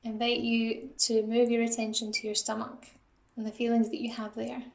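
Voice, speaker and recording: A woman leads a guided body scan meditation in a fairly high voice with a flat, steady melody. Her delivery is calm and gentle, with noticeable creak, and she gives each instruction softly. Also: brisk, reverberant. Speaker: assistant psychologist guiding a meditation.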